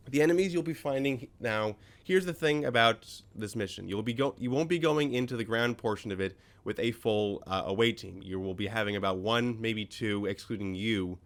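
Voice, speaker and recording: A man's voice: lowish voice, a bit bright. Scratchy-voiced man explaining game gear. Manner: rambling, many ums and self-corrections. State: slightly scratchy voice after a cold. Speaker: gaming video host. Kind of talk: casual let's-play commentary explaining game gear.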